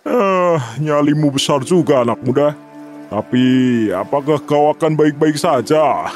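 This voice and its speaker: A man's voice: on the high side, measured pace, soft background music, close to an everyday voice. A man playing an arrogant, mocking foreign challenger in a children's folktale drama, taunting and boastful.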